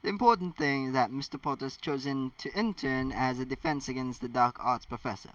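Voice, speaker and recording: A man's voice: mid-range voice, fairly quick, a bit bright, no room sound, lightly acted. A man reading a storybook's elderly headmaster, polite, mild and slightly befuddled. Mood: warm, evasive. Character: elderly male school headmaster, genial, slightly bemused. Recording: distant mic, dull lo-fi sound.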